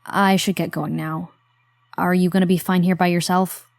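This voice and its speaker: An actress playing a wry, reluctant but loyal helper in a horror audio drama, talking in a dry, conversational way. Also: female voice, lowish voice, little pitch movement, normal speed, vocal fry, no echo. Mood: dryly concerned.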